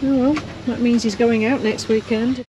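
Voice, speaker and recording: Australian woman behind the camera, wry teasing chat, higher voice, noisy outdoor yard. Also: steady tone, moderate pace, dark tone. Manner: dry, teasing remarks. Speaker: partner filming the work. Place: outdoor boatyard. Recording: clear outdoor noise.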